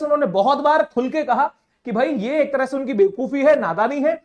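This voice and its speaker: High-voiced woman giving political commentary. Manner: emphatic, rhetorical questions, addresses listener as sir. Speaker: current affairs commentator.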